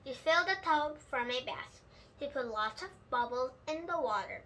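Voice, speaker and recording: A female storybook reader with a very high, bright voice. She reads aloud for children and now and then stumbles over a word. Faint noise runs behind the recording. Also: lively intonation, normal speed. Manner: stumbles and rereads words. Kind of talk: children's book read-aloud.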